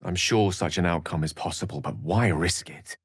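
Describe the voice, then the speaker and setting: An actor voicing a learned, courteous wizard in a video game, with eloquent, courtly phrasing and wry asides. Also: male voice, low voice, normal speed, husky, dry recording, clean studio sound, exaggerated voice. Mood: musing. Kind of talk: video game character voice lines.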